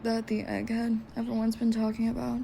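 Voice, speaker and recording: A heavily fried, mellow female voice acted by a woman as a prying vigilante hunter. She is blunt and dry in audio drama style, with faint background noise. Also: on the high side, monotone, brisk, gravelly. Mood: curious. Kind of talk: audio roleplay acting.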